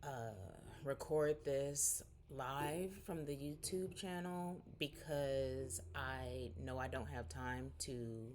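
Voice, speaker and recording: A woman in her forties talks to her vlog audience from home in a candid, rambling, chatty way. Her voice sits low, and a faint room hum runs underneath. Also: steady tone, slow pace, few pauses, darkish tone. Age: 40s. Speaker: vlogger. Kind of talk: casual catch-up vlog.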